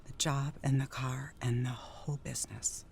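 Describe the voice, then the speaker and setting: Troubled wistful 1930s housewife, low voice, radio play, some background noise. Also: female voice, fairly even intonation, normal speed, creaky, darkish tone. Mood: wistful, pleading. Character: troubled, wistful, newly sober 1930s wife Jerry. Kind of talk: radio drama acting.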